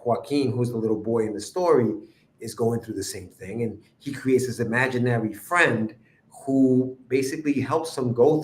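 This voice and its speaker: A man from Ecuador talks casually as a guest on a remote call, and his voice sits at an ordinary middle pitch. He rambles easily and lets his sentences fade off. The room around him is audibly echoey. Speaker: guest cartoonist. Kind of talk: casual interview chat. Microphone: remote livestream call.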